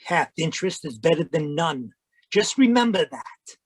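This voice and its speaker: A man's voice: a little high, big pitch swings, moderate pace, husky, dry recording. A man playing a greedy, slick swindler with a slangy, scheming manner in old-time radio drama style. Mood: scheming, coaxing. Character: greedy male swindler, slick accomplice of cafe singer.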